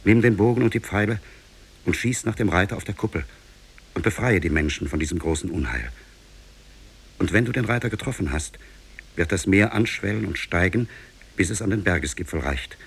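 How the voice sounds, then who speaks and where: An actor playing a grave shipwrecked prince, recounting his ordeal in solemn, measured, literary 1950s radio drama style. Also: low voice, animated, creaky, husky, heavily acted. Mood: solemn command. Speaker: storytelling character in a radio play. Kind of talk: 1950s German radio drama, literary fairy-tale narration.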